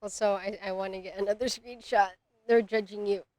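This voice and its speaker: A woman's voice: medium pitch, fairly even intonation, a little fast, darkish tone, no echo. A woman live-streaming a game, tossing in casual, amused and teasing commentary.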